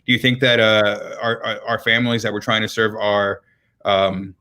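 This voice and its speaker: A man's voice: lowish voice, steady tone, moderate pace, sharp tone, clear, resonant tone. A man hosting a live-streamed interview, talking in a friendly way with many ums and repeated words. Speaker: interview host.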